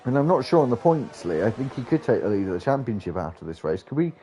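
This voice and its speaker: A man's voice: mid-range voice, lively intonation, dark tone, a little hiss or hum. Stuffy-nosed man calling a race. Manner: brisk play-by-play, rising with overtakes. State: stuffy nose from hay fever. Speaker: lead race commentator. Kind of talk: sim racing play-by-play commentary.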